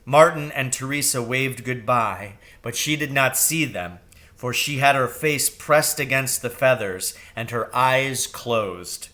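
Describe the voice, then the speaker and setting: Guy reading a kids book out loud, takes his time, bright clear-cut tone, expressive and deliberate. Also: medium pitch. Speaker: storybook reader. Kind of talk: expressive scripted narration of a children's novel.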